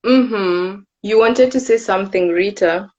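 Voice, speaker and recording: A young woman speaks slowly and hesitantly, hosting a relaxed livestream chat. Her voice comes through dull and lo-fi, as over a video call. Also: mid-range voice. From Kenya. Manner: unhurried, hesitant with frequent fillers. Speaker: host of a live video.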